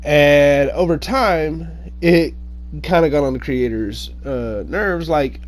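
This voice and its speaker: Male storyteller, slightly high voice, unhurried and hesitant, informal folk-tale telling with self-corrections. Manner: halting, hesitant delivery with frequent pauses and self-corrections. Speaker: online storyteller.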